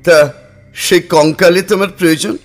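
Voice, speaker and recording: A man playing an uneasy but tender narrator in an audio horror drama, telling his story in the first person with dramatic pauses. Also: high-pitched, steady tone, natural voice. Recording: music under the voice.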